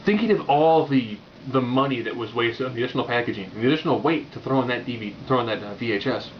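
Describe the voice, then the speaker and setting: A man with a mid-pitched voice talks through a movie review off the cuff, casual and opinionated. His delivery is wry and rambles a little. The recording is dull and lo-fi, with faint noise behind him. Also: animated, quick pace. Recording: muffled lo-fi audio with background noise.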